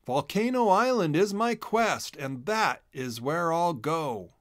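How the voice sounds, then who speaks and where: Man playing a high, determined hedgehog hero. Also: animated, takes it slowly, a bit bright. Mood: resolute. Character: brave, determined male hedgehog storybook hero.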